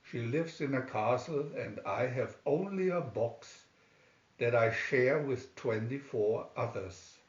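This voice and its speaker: A man's voice: mid-range voice, takes it slowly, lightly acted. Man as an earnest, lovestruck tin soldier. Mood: wistful, lovestruck. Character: brave, lovestruck toy tin soldier in a storybook. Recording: echoey room, dull lo-fi sound.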